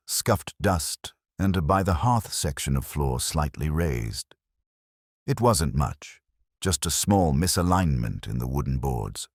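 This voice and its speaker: A man playing a melancholy, reflective police inspector who narrates a case in a measured, literary way, as in a scripted audio drama. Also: very deep voice, dry recording, studio quality, heavily acted.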